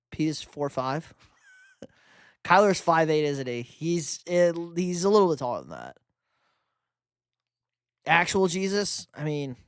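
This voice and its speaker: A man's voice: fairly high pitch, clean tone, no echo, clean studio sound. A young man hosting a live sports stream, reading chat questions and bantering loosely with casual profanity. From New York. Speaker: livestream host reading chat.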